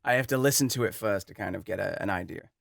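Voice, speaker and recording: A man's voice: lowish voice, fast, creaky, music in the background. A young man chatting casually as host of a voice acting video, rambling and self-deprecating. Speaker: voice acting YouTuber. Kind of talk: casual chat.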